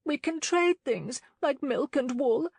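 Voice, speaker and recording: A woman voicing a distressed young gentlewoman, proud and tearful, pleading and defiant by turns. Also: sits high, lively intonation, slow pace, creaky, no echo, studio quality, pitched above the performer's own voice, full character voice.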